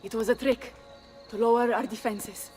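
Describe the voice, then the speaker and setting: Woman playing a high-voiced proud Italian noblewoman. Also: steady tone, moderate pace, a little mellow, faint music behind, lightly acted. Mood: remorseful. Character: fiery, defiant Italian noblewoman, proud and commanding. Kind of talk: dramatic video game cutscene acting.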